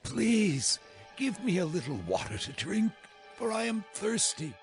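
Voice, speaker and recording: Creaky, high-voiced fleeing army commander. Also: male voice, very animated, a little slow, exaggerated voice. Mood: weary pleading. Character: weary, fleeing male army commander begging for shelter. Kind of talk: dramatized audio Bible acting. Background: music.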